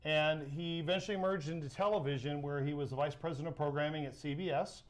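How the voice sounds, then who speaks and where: A middle-aged American man chatting casually as an interview guest, telling personal stories in an animated way. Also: a little high, fast. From Southern California, United States. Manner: animated storyteller, acts out quoted dialogue. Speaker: interview guest, sports announcer. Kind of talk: casual chat, personal storytelling.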